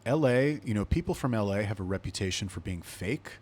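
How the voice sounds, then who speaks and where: A man's voice: on the low side, animated, brisk, darkish tone. A young man from Los Angeles, hosting a podcast and telling a long personal story in a casual, profane, digressive way. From Los Angeles, USA. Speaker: podcast host.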